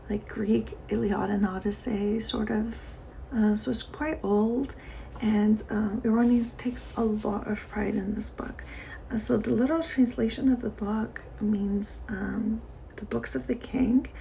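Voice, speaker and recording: Soft-spoken woman with vocal fry. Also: mid-range voice, a little hiss or hum, rough recording. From Iran. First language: Persian. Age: 40s. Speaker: vlogger. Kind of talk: casual diary chat to camera.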